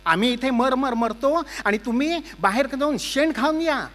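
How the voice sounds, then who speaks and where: A man doing a shrill nagging wife. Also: expressive, moderate pace, fairly bright tone. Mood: scolding. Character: shrill scolding wife, stout and sour-faced.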